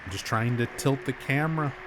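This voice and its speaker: A man's voice: mid-range voice, brisk, noisy background. A man streaming a game, explaining things casually while nursing a sore throat. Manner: explanatory, chatty, gets animated when amused.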